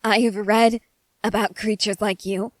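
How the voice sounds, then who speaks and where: Woman doing excitable nerdy scientist soldier lady, earnest and emphatic, asmr roleplay, mid pitch, really dry sound. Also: unhurried, dry recording, lightly acted. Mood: awed excitement. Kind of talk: ASMR character roleplay addressed to the listener.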